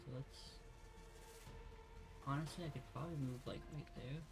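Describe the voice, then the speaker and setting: A man's voice: mid-range voice, steady tone, normal speed, creaky, music in the background. A man doing casual let's play commentary, muttering through his decisions as he plays.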